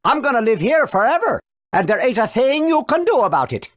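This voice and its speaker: A woman's voice: on the high side, very animated, a little fast. A woman voicing a stubborn, sulky little forest creature in a video game. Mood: defiant.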